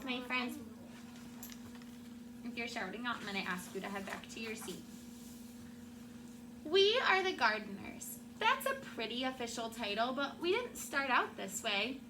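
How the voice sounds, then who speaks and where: Through clear background noise, a woman with a fairly high voice reads a picture book aloud to schoolchildren. She is a teacher who tells the story expressively and breaks off for short asides to her class. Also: big pitch swings, measured pace, long pauses. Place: classroom with children. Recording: clearly noisy classroom recording.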